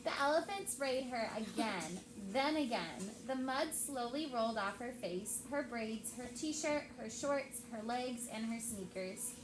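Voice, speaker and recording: A woman's voice: a little high, expressive, measured pace, hardly any pauses. A woman teacher reading aloud expressively to a class and prompting pupils with questions. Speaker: elementary school teacher. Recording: echoey room with clear background noise.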